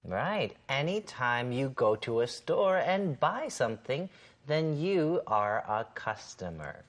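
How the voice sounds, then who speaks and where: A woman's voice: a bass voice, very animated, takes it slowly. A woman co-hosting an English lesson, explaining simply from a script with careful, emphatic enunciation. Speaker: co-host of an English lesson show.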